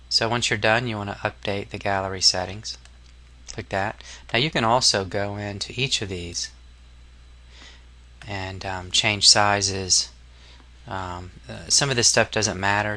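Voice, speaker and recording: A man narrating a casual software tutorial as an instructor, explaining step by step in an informal, somewhat hesitant way. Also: on the low side, steady tone, moderate pace, creaky.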